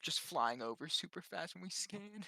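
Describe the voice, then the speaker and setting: A man's voice: high voice, expressive, moderate pace, gravelly, pushed and bright, dry recording. A man playing a tabletop roleplaying game, chatting casually and jokily into a close mic. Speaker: tabletop RPG player. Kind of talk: casual joking chat during a game.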